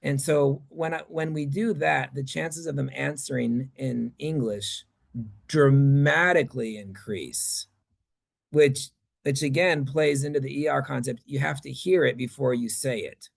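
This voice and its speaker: A man presenting at a conference, answering questions in a halting, think-aloud way. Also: mid-range voice, vocal fry.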